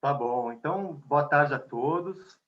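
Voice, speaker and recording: Mellow-paced man with a São Paulo accent. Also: a little high. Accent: São Paulo Portuguese. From Bahia, Brazil. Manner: warm, unhurried, many "né" and "tá" tags. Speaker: invited speaker, conflict mediator. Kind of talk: online lecture. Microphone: video call. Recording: dull lo-fi video call audio.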